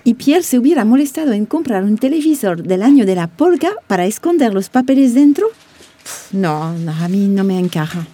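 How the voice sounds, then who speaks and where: A woman's voice: mid-range voice, expressive, normal speed, warm tone, lightly acted. An actress playing a guarded, earnest French woman journalist in a radio drama. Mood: skeptical.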